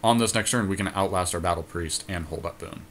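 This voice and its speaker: A man with a low voice chats casually as he works through options in a card game. His wording is loose and slangy, like a podcast co-host playing along. There is faint noise behind him. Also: fairly quick. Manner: informal, slangy. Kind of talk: casual chat thinking through game moves aloud.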